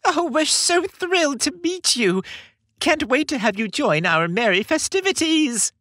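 Male narrator as doting older wife of Santa, storybook; high, swooping, sing-song, effusive. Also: big pitch swings, a little slow, pushed and bright, no echo, studio quality, full character voice. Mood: thrilled.

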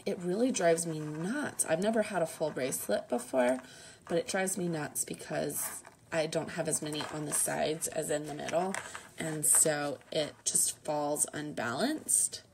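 A young woman vlogging at home, talking in a low voice with a lot of vocal fry. She rambles casually with plenty of fillers, sometimes dropping into soft half-whispers. Steady noise sits under the recording. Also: measured pace. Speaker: lifestyle vlogger. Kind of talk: casual unboxing chat to camera. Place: home room, indoors.

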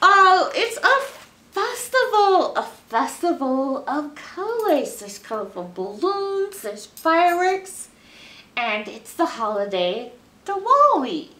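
A middle-aged American woman leading a children's story time, expressive as she talks to young listeners. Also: extremely high pitch, takes it slowly. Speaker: librarian storyteller. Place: small library room, indoors. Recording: roomy, picked up from a distance.

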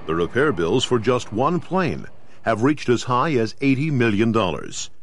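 A man with an American accent gives measured, formal, instructional narration in the style of a scripted training video. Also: medium pitch, big pitch swings. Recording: 1990s videotape with clear background noise.

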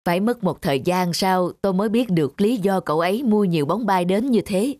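A woman's voice: medium pitch, animated, brisk, no room sound, lightly acted. A woman voicing a kindly, sincere middle-aged male toy shop owner in a cartoon. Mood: reflective. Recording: loud music behind the voice.

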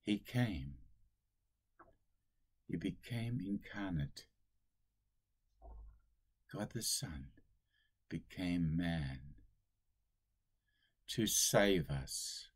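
Slow, deep-voiced older priest preaching. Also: male voice, lively intonation, husky. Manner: slow, solemn and deliberate, with emphatic rises. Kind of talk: sermon. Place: small room at home.

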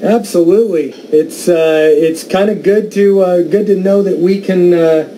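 An American man with a mellow, slightly high voice gives a casual demonstration. He talks very slowly, pausing between phrases, with faint noise behind him. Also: fairly even intonation, rough recording. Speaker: amateur radio YouTuber. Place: indoors at a desk.

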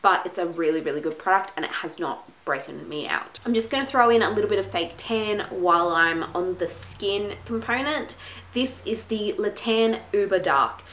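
A woman's voice: medium pitch, moderate pace, fairly bright tone. A young woman in her twenties, congested from a cold, chatting and gushing through a casual beauty product review in a small room at home. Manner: gushing, chatty, lots of "like" and "my goodness". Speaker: beauty vlogger. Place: small room at home, plain wall behind. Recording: echoey room with faint hiss.

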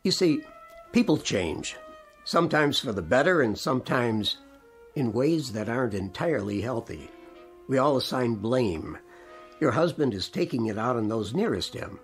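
A reasonable, gently candid family doctor played by a man in a radio drama. He is reassuring and tactful, with very varied intonation over faint music. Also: medium pitch, animated, normal speed, close to an everyday voice.

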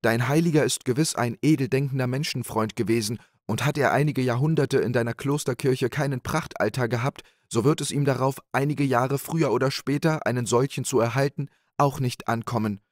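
A man's voice: mid-range voice, brisk, few pauses, no echo, studio quality, voice pushed up, lightly acted. A man voicing a scheming, boastful male innkeeper in a storybook tale, speaking in an agitated, pleading way.

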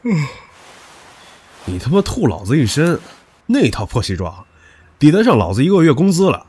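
Man acting a brash, husky-edged young suitor, wildly animated. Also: a little high, normal speed, heavily acted. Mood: grumbling, defiant. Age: young adult. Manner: cocky, crude, pushy. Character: stubborn, brash, possessive young male suitor. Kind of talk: modern audio drama acting.

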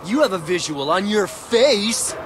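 A man's voice: high voice, lively intonation, unhurried, heavy background noise. An actor playing a young, cocky, upbeat male superhero in a video game, with a glib, quipping delivery. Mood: wisecracking. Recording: loud game music over voice.

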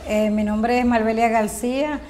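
A woman's voice: on the high side, steady tone, normal speed, warm tone, a little hiss or hum. A woman in her fifties from Venezuela, telling her story in a documentary interview, hesitant with many fillers. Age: 50s.

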